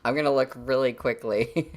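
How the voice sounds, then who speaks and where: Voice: mid-range voice, steady tone, moderate pace. A readalong host speaking on a livestream, moving between casual chat and narrating a novel.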